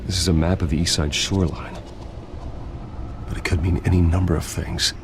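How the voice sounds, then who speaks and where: Brooding rich vigilante for a game, super deep n gravelly, dry, noisy background. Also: male voice, steady tone, brisk. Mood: dry, guarded. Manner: terse, dry sarcasm. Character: brooding billionaire vigilante detective, adult man, terse and dry. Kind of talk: video game voice acting.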